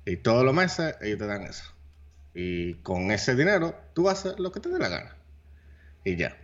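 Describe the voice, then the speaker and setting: A man's voice: mid-range voice, very animated, normal speed, muffled recording. A man with a Dominican accent co-hosting a podcast, chatting loosely and dropping English words into his speech. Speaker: podcast co-host. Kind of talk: casual chat.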